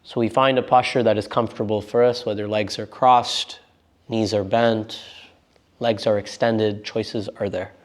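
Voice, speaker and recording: Gentle male meditation teacher. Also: fairly low pitch, flat delivery, normal speed. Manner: gentle, unforced, reassuring. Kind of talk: guided meditation instruction.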